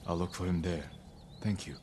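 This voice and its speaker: Man acting low, steady noble samurai lord. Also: moderate pace, noisy background, natural voice. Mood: sober, purposeful. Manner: calm, earnest, restrained. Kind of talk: video game cutscene acting. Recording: dramatic music behind the voice.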